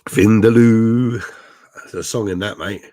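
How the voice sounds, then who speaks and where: Deep-voiced, hoarse man rambling. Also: steady tone, unhurried, dark tone. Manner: rambling, crude jokes, swearing. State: hoarse, unwell. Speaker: livestream host reading chat. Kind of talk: casual crude drinking chat.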